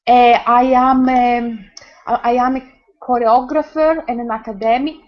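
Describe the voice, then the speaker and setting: A woman's voice: fairly high pitch, fairly even intonation, normal speed, highs cut off. A woman from Greece with a Greek accent, chatting hesitantly on a video call with many restarts. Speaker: meeting participant. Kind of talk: casual video call chat.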